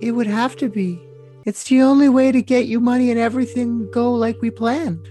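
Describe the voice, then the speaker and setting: A man's voice: extremely high pitch, fairly even intonation, moderate pace, dark tone. A man voicing a lovesick, scheming young woman in a cutesy, coy, overly dramatic comic reading of messages. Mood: insistent, sweet. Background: music.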